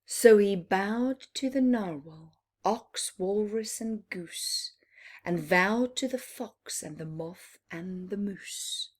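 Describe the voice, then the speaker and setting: A woman reading a picture book aloud to young children in an animated storytime style, with dramatic pauses and little explanations for her listeners. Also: mid-range voice.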